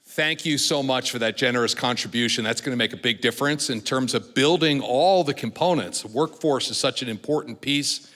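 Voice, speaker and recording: A man's voice: mid-range voice, pushed and bright. A man hosting an event, giving a formal, partly read introduction of a speaker. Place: large event hall, public address. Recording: echoey hall with long reverb.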